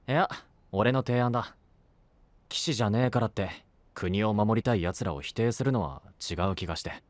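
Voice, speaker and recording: A man playing a brusque, easily flustered but earnest young knight in an anime voice drama, with blunt rough casual speech. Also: on the low side, normal speed, no echo, close to an everyday voice.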